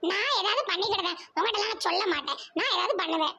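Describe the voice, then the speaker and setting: A woman's voice: very high-pitched, lively intonation, quick pace, sharp tone, lo-fi sound, slight background noise, full character voice. A woman voicing a touchy, indignant village neighbour in an amateur cartoon comedy, huffy and curt, with a southern Tamil Nadu accent.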